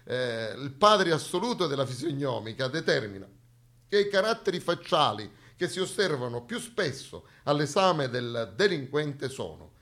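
A man's voice: mid-range voice, big pitch swings, normal speed, fairly bright tone. A man performing a satirical theatre monologue, lecturing mock-seriously with ironic asides to his listeners.

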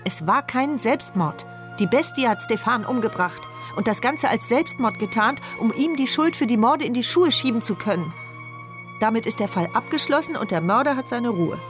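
A woman's voice: on the high side, lively intonation, moderate pace, music in the background, lightly acted. An actress playing a paralysed, watchful woman who narrates her fear in a radio play. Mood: tense, bitter.

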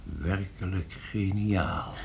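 An actor playing a wary, indignant gentleman in a Dutch radio play, terse and defensive, with a Netherlands accent. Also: low-pitched, extremely slow, creaky, slight background noise, full character voice.

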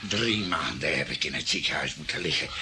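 A man's voice: a bass voice, animated, brisk, pushed and bright, slight background noise, exaggerated voice. Scornful middle-aged male police detective, radio play, very tense edgy voice, emphatic accusations. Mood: bitter, scornful. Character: bitter, shabby police detective around fifty, betrayed colleague.

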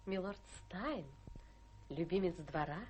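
An actress playing a charming, ambitious young society wife in a radio play, coquettish and breezily self-assured in a theatrical manner. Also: female voice, on the low side, slow, a little mellow, husky, muffled recording. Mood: cool, coaxing.

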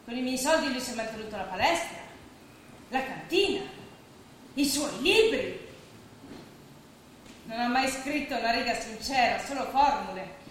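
An actress playing a bitter, demanding young heiress with an accusing, theatrical manner. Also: female voice, a little high, lively intonation, normal speed, pauses often, natural voice. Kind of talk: staged radio drama acting in Italian. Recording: very echoey, distant stage recording, faint noise.

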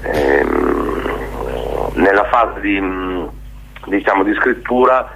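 A man being interviewed on radio, speaking in a halting, reflective way and often checking for agreement. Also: low voice, expressive, creaky, fairly bright tone, a little hiss or hum. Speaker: theatre maker being interviewed. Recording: dull, lo-fi radio interview sound.

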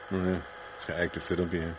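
An actor playing a wry, unruffled private investigator in a 1970s Dutch radio play, bantering casually with a sardonic edge. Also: male voice, low voice. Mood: musing. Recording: noisy vintage radio drama recording.

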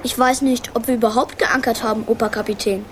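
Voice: medium pitch, steady tone, fast, natural voice. A young performer playing an eager, adventurous child helmsman in a children's radio play, giving earnest lookout reports. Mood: matter-of-fact. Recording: loud boat and water noise behind.

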